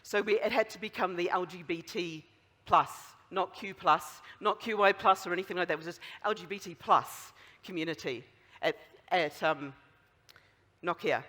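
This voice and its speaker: A speaker with a strong New Zealand accent giving a conference closing talk, chatty and wry, with many fillers. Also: male voice, sits high, normal speed, bright, forceful tone. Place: large conference hall. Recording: long hall reverb.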